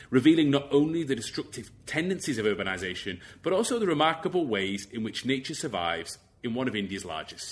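A man's voice: mid-range voice, a bit bright. A man hosting an academic podcast, reading introductions and putting questions to a guest. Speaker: podcast host. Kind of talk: scripted introduction and interview questions.

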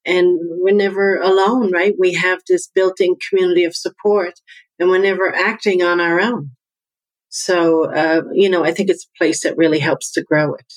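Newfoundland-accented woman, low voice, earnest guest, dry close sound. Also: steady tone, moderate pace, no echo, poor audio. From Newfoundland, Canada. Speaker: guest, business coach. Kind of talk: conversational interview.

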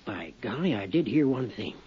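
A man's voice: medium pitch, big pitch swings, moderate pace, slight background noise, lightly acted. An actor playing a chatty, folksy older railway mail man with a drawl in a 1950s radio drama. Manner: rambling, folksy, drawling country talk. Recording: dull vintage 1950s radio recording.

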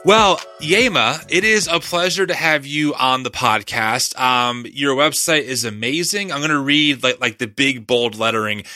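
A man's voice: a little high, sharp tone, no echo, clean studio sound. A man hosting a podcast in an upbeat, conversational way, casually introducing and interviewing. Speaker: podcast host.

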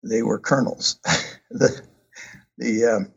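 A man talking about his book in a casual, hesitant way, with many ums and uhs and frequent pauses. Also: medium pitch, fairly even intonation, creaky, husky. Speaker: author discussing his book. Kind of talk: casual conversational talk. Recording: dull lo-fi sound.

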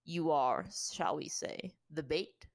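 A woman performs a male warrior captor from a fantasy story. He taunts in a calm, offhand tone with smug condescension and cool menace. The voice sits on the low side and is recorded dry and close. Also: slow pace, creaky, no echo, full character voice. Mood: sly, offhand.

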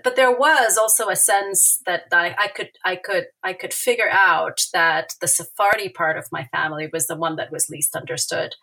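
Argentine woman guest, Spanish first language, lower bright voice, thoughtful with lots of ums. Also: gravelly, rough recording. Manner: thoughtful, many hesitations and fillers. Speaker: guest author, historian. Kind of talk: academic interview.

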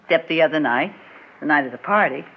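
A woman's voice: sits low, animated, quick pace, husky, heavily acted. An actress playing a shy, smitten young small-town woman in an old-time radio drama, with hesitant delivery. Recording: muffled vintage radio recording.

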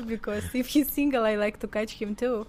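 A woman's voice: a little high, normal speed, a little mellow. Russian-accented woman chatting, some background noise. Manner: non-native English phrasing. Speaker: podcast guest. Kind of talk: casual chat.

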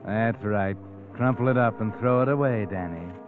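Tinny vintage radio sound with clear music behind it carries a man acting as an insinuating suspense narrator. His lowish voice nudges the listener through the tale like a nagging inner voice. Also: normal speed, lightly acted. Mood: insinuating, urging. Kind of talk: old-time radio drama narration, second person. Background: dramatic music.

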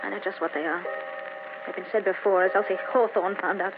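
An actress playing a brooding, jealous wife in an old-time radio drama, tense and halting, with bitter melodrama. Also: female voice, mid-range voice, fairly even intonation, close to an everyday voice. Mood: cold accusation. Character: brooding, suspicious, jealous wife haunted by obsession. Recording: noisy, narrow-band old radio recording.

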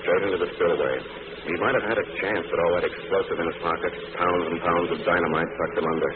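An actor in a 1940s radio drama playing a calm, reflective gentleman crime-fighter with a measured, wry manner. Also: low voice, natural voice. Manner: measured, matter-of-fact, wry. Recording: noisy, narrow-band vintage radio recording.

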